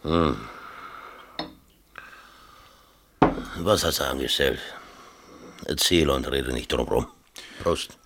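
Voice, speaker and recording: An actor playing a middle-aged mayor in a 1970s radio drama, chummy, colloquial and patronizing while evasive. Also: male voice, very deep voice, a little slow, creaky, fairly bright tone, a little hiss or hum, exaggerated voice. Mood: evasive, blustering. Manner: familiar, colloquial, brushing off with hearty chumminess.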